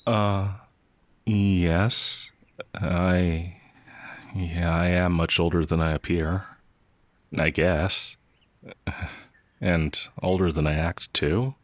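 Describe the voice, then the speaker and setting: Man playing a timid, slow-talking gentle werewolf. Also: low-pitched, steady tone, dry recording. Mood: wistful. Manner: hesitant, stammering, meek and naively earnest. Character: timid, gentle, innocent male werewolf farmhand, older than he appears. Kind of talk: audio roleplay character acting.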